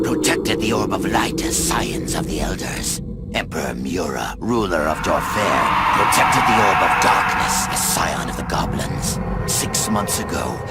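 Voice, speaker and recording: Deep-voiced, cocky goblin assassin. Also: male voice. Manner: sly, taunting, theatrical. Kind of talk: fantasy game cutscene acting. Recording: loud music behind the voice.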